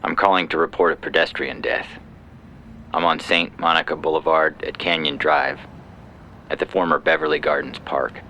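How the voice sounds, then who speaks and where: Man acting a very deep, gravelly, callous official. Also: sharp tone, a little hiss or hum, exaggerated voice. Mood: officious. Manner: cold, officious, matter-of-fact. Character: callous, self-important male war secretary reporting an accident. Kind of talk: audio fiction reading as a phone call.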